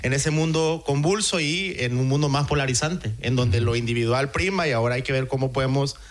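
A man's voice: mid-range voice, fairly quick. Brief: Salvadoran male student, mid-range voice, impromptu reflection as a studio guest. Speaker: course student and radio guest. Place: radio studio.

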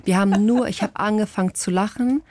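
A woman's voice: medium pitch, quick pace, a little hiss or hum. A woman from Portugal chatting casually as an interview guest, acting out quotes as she tells stories into a close studio microphone. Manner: animated storytelling with acted-out quotes. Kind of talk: casual anecdotal chat. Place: radio studio.

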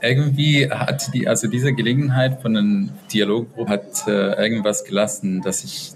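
A man from Israel answering interview questions in halting speech, often searching for words and restarting sentences. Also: lowish voice, little pitch movement, rough recording. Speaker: dialogue group organizer.

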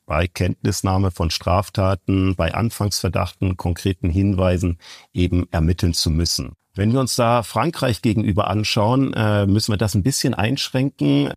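A man's voice: low voice, vocal fry, darkish tone, dry recording, studio quality. A man who is an academic police expert, explaining in formal wording with hesitations.

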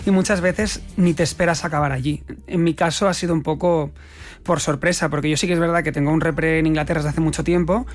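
A young man from Spain, an actor, chatting casually as an interview guest, quick and animated, laughing often and restarting his phrases. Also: a little high.

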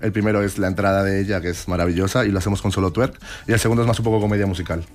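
Man, late twenties, low-ish voice, very fast conversational explainer, interviewee. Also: steady tone, darkish tone. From Colombia. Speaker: interview guest, twerk dance teacher and academy owner.

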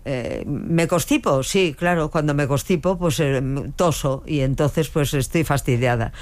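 A woman's voice: low voice, unhurried, a little mellow. An older woman, a veteran dubbing actress with a strong voice, answering interview questions with frequent hesitations and restarts. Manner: hesitant, many fillers and restarts.

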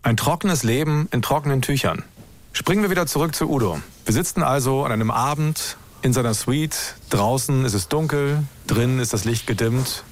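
A man's voice: medium pitch, normal speed. A man with pronunciation hosting a podcast, reading scripted narration.

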